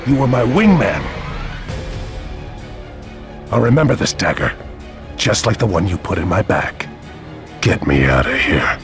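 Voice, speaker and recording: An actor playing a menacing, vengeful and mocking villainous warlord in a video game. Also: male voice, low voice, very animated, measured pace, long pauses, soft background music. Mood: bitter, threatening. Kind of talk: dramatic video game cutscene acting.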